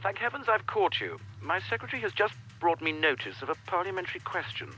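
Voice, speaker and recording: A man's voice: sits high, sing-song, fast talker, pushed and bright, soft background music, exaggerated voice, poor audio. A man acting a flustered official in a radio drama, speaking urgently and in a rush. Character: flustered, hurried politician or official.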